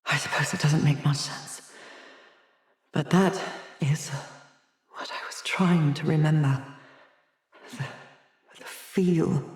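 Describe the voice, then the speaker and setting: Snooty haunted asylum doctor, video game style, slow n theatrical, kinda fretful, echoey room sound. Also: male voice, on the high side, fairly even intonation. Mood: haunted, brooding. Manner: theatrical, erudite, fretful, with sighs and hesitations. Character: haunted, haughty asylum doctor, obsessed with sculpting. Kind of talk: dramatic video game dialogue.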